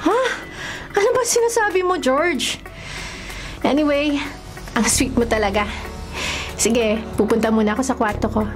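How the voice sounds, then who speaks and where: A troubled young big sister played by a woman actor with a high, expressive voice. Her delivery is melodramatic radio horror acting, with music behind her. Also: moderate pace, pauses often, natural voice. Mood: affectionate, casual. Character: troubled young woman, affectionate older sister hiding dread.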